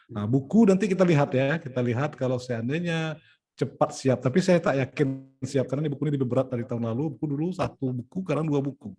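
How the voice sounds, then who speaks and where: A man's voice: mid-range voice, fast, dark tone, husky. A middle-aged man from Indonesia, an event organizer and poet, explaining plans step by step in a meeting. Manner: explaining logistics step by step, frequent "nah" and "ya". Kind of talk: planning meeting talk.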